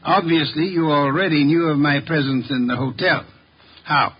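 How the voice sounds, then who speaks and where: A man acting a brilliant, haughty middle-aged detective in a 1950s radio drama, speaking in a pompous, formal, deliberate way, on a muffled old recording. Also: fairly high pitch, breathy edge. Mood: stern, certain. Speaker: radio actor in a detective series.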